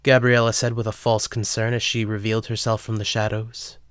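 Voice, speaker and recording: A man's voice: lowish voice, little pitch movement, fairly quick, clear, resonant tone, dry recording, clean studio sound. A man narrating a scripted dramatic story as the narrator.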